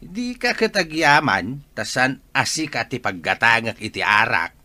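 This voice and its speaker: A man plays a wheedling old Ilocano grandfather who loves his liquor, in a radio drama. His bright, forceful voice swoops hugely up and down, exclaiming in a very dry, close recording. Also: mid-range voice, very animated, measured pace, no echo, heavily acted. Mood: defensive. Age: older.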